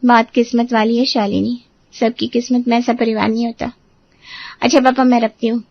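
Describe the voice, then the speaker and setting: A woman's voice: on the high side, little pitch movement, normal speed, dry recording. A woman playing a guarded, slightly impatient young married daughter in a Hindi family drama, on a muffled track. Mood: guarded, composed. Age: young adult.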